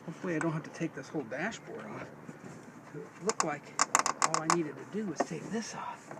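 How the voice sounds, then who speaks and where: A man's voice: on the high side, expressive, fairly quick. A middle-aged man giving a casual hands-on how-to, thinking aloud in a folksy way as he works inside a vehicle. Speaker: DIY demonstrator. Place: inside a parked Jeep. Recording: noisy handheld recording in a vehicle.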